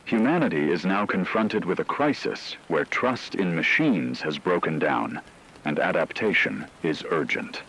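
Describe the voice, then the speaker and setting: A man playing a grave radio news anchor in a scripted drama, speaking in a measured, formal way over a noisy background. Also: low-pitched, lively intonation, fairly bright tone, husky, full character voice. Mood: grave, urgent. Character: grave male radio news anchor reporting a global AI crisis.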